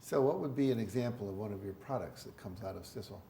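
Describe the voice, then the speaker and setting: Brief: male host, mellow warm tone, roomy echo, faint hiss. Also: lowish voice, fast talker, gravelly. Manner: friendly, affirming, short interjections. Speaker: interview host. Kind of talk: talk show hosting.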